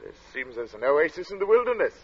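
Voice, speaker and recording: A man acting as an uneasy, introspective gentleman who narrates an eerie tale in 1940s radio suspense style, measured and literate. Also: on the high side, big pitch swings. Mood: polite, curious. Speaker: narrator and protagonist. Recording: muffled old radio drama recording.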